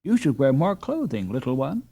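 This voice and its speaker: Casting: man playing a well-bred storybook girl of thirteen, gentle and earnest, lively intonation. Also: medium pitch, moderate pace, gravelly, slightly rough, pitched above the performer's own voice, heavily acted. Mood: kindly. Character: kind, well-bred girl of nearly fourteen.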